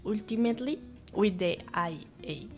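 A young woman from Colombia with a Colombian Spanish accent, a university student answering interview questions in English, her second language, halting and searching for words with many restarts. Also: medium pitch, over music. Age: young adult.

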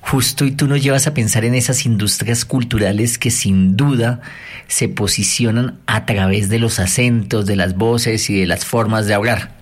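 A man's voice: fairly low pitch, fairly even intonation, normal speed. A man interviewing a guest, posing long, articulate questions in a composed way.

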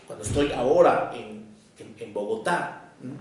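A psychoanalyst from Mexico with a Mexico City accent, giving an academic lecture in a measured, deliberate way with short pauses between phrases. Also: male voice, medium pitch, lively intonation, a little mellow. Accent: Mexican Spanish, Mexico City. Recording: echoey room, voice picked up from far off.

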